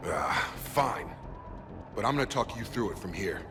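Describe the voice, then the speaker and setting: An actor playing a loyal, stubborn soldier in a video game cutscene, plain-spoken and clipped. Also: male voice, on the low side, expressive, unhurried, music in the background. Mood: stubborn, urgent. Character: loyal, stubborn male soldier, injured but determined.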